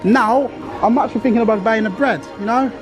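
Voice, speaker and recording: A man's voice: very high voice, fairly quick, music in the background. A man vlogging casually and exclaiming informally as he walks around a supermarket. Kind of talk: casual walk-around vlog narration. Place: inside a large supermarket.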